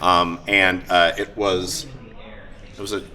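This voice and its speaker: A man hosting a live show, talking casually and off the cuff, with enthusiasm, halting asides and frequent hesitations. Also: sits low, little pitch movement. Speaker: host of a live-streamed tabletop roleplaying show. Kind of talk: casual off-the-cuff talk to an audience. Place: live event room at a gaming convention. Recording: live convention room with crowd noise.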